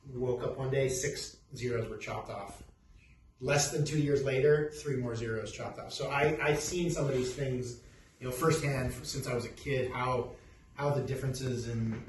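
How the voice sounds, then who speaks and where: A middle-aged man from Peru, a company CEO, introducing his background in a halting, casual way with many restarts. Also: medium pitch. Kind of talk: casual self-introduction talk. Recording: echoey room, distant mic, faint noise.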